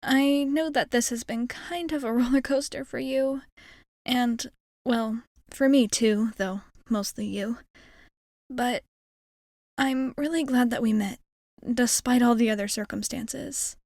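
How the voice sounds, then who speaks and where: A woman's voice: fairly high pitch, a little slow, a little mellow, dry recording, studio quality. A woman playing a shy, kind young alien girl in an intimate ASMR roleplay, speaking in a hesitant, earnest, rambling way. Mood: wistful, reassuring.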